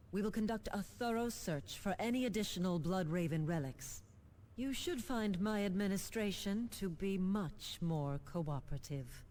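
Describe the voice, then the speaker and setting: An actress playing a composed, businesslike planetary governor sending an official message in a video game. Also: female voice, lowish voice, warm tone, a little hiss or hum, lightly acted. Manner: formal, businesslike.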